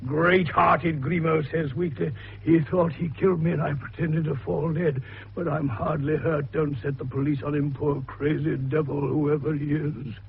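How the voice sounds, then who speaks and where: An eccentric older detective doctor, very husky and crackling with gravel. He lays out his case in an avuncular, theatrical manner, commanding the scene as in a radio mystery. The recording is dull and muffled. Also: on the high side, little pitch movement, moderate pace, full character voice. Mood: relishing explanation. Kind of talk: radio detective drama acting.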